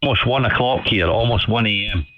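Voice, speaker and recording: A man's voice: fairly low pitch, brisk, slight background noise. A man chatting politely and casually as an amateur radio operator, often repeating phrases for emphasis, heard over the radio. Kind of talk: casual ham radio chat. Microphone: studio condenser microphone over radio. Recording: dull band-limited shortwave radio signal.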